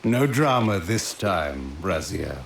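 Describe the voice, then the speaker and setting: An actor playing an ancient vampire lord in a video game, cunning and commanding, with knowing, aristocratic gravity. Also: male voice, low-pitched, big pitch swings, slow, vocal fry, full character voice. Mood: wry, knowing. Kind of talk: dramatic video game voice acting. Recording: distant echoey sound, faint rainy hiss.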